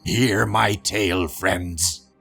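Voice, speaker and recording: A man acting a low, gravelly religious fanatic from a comic book. He preaches in a pompous, deliberate way with a hard, forceful edge. Music plays under him. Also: steady tone, heavily acted. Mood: fervent preaching. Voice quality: gravelly, raspy. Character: zealous self-righteous religious fanatic villain preaching judgement.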